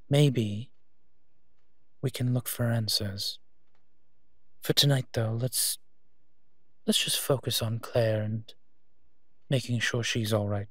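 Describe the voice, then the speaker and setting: A man playing a gentle, teasing monster who protects children, speaking softly in an intimate audio roleplay addressed to the listener. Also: medium pitch, husky, dry recording, clean studio sound. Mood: reassuring. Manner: soft-spoken, unhurried, playfully teasing.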